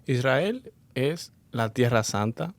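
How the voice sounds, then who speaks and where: Slow, gravelly-voiced man. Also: medium pitch, expressive, no echo. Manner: slow, solemn, deliberate. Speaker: podcast guest.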